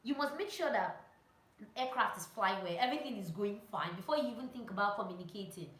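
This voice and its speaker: A woman giving casual, animated instructional vlog talk, telling anecdotes and repeating phrases for emphasis. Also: mid-range voice, fast. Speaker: vlogger and pilot sharing training tips. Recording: roomy echo.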